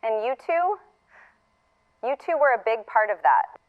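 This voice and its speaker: An actress playing a wry, tender middle-aged woman leaving a farewell message, reflective and halting, through a narrow playback filter. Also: fairly high pitch, very animated. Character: wry, tender older woman saying a last goodbye. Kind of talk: recorded farewell message in audio fiction. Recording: thin, phone-like recorded playback.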